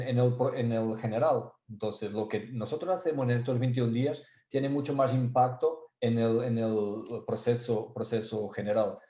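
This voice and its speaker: Portuguese guy doing a tech webinar in spanish, halting, lots of restarts, mellow voice, echoey dull call audio. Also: medium pitch. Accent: Portuguese, Spanish learned in Spain and Galicia. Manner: halting, many restarts and searching for words. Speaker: sales engineer presenting a webinar. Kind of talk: technical webinar presentation.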